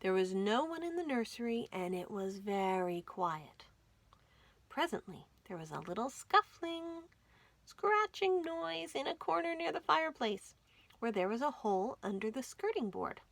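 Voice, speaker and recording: A woman reading a children's story aloud as a storytime host, with dramatic, expressive delivery. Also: a little high, a little slow, gravelly, no room sound. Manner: dramatic, animated storytelling with whispers and emphasis. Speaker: library storytime reader.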